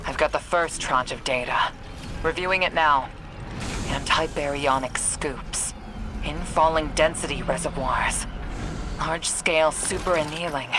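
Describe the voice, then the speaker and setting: An actor playing a steady, wary mission handler who briefs a soldier in a dry, serious way in a video game. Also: male voice, on the high side, a little slow, noisy background, natural voice. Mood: wary, analytical.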